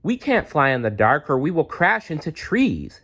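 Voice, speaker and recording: A man voicing a young female bat pup, eager and excitable, with squeaky exclaiming delivery in a storybook reading. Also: normal speed, lo-fi sound, heavily acted. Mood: anxious.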